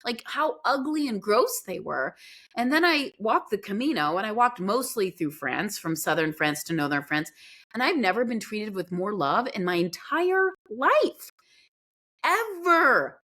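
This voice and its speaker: A woman, a podcast guest who grew up in Guyana and Pakistan, talking in a casual, expressive storytelling way. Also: medium pitch. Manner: expressive storytelling, acts out quoted reactions. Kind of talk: casual conversational interview.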